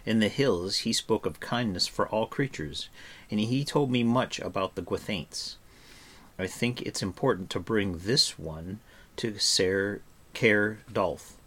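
A man's voice: on the low side, unhurried, a bit bright. A man reading a storybook as a kind, determined youth who speaks earnestly and firmly. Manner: earnest, firm.

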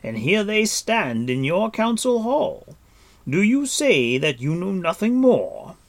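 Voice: mid-range voice, sing-song, slow, vocal fry, slightly rough, pitched above the performer's own voice, full character voice. A narrator as a proud, defiant warrior lord. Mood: angry. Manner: forceful, scornful declaiming. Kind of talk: audiobook character voice.

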